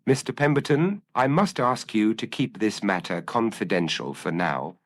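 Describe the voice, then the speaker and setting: Guy reading as shrewd victorian detective man, low voice, deliberate and formal, storybook dialogue. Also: lively intonation. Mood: grave, resolute. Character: shrewd, grave, commanding male Victorian detective.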